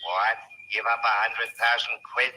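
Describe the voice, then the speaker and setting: An actor playing a rough, gullible criminal henchman with a Cockney accent in an old-time radio drama. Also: medium pitch, level tone, normal speed, a little hiss or hum, low-quality recording. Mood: alarmed. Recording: old radio recording with loud music.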